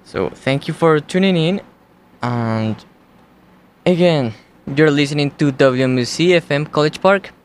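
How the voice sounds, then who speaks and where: A young man with a Colombian accent hosting a college radio show, talking in a slow, halting, casual way. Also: a little high, slight background noise. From United States. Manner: slow, unhurried, halting delivery.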